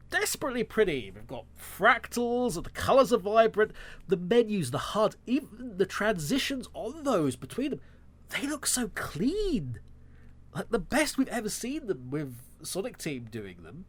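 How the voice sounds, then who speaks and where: A man's voice: high voice, fairly bright tone. A man hosting a solo podcast, sounding nasal and congested from a cold, talking with sarcastic, wry asides. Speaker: podcast host.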